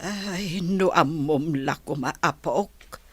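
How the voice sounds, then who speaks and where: Slow, gentle old grandmother. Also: deep voice, breathy edge, no echo, full character voice. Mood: tender, wistful. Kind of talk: radio drama acting.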